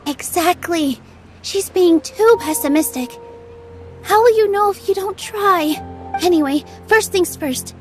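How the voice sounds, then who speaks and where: An actress playing a gentle young dancer in a game, soft-spoken and polite. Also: female voice, extremely high pitch, a little slow. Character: young woman dancer, gentle and warm-hearted. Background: game music.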